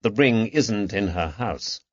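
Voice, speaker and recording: A man voicing a calm, perceptive Victorian detective with a gentle, measured way of talking, in a dull, dry recording. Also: on the low side, dry recording. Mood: thoughtful.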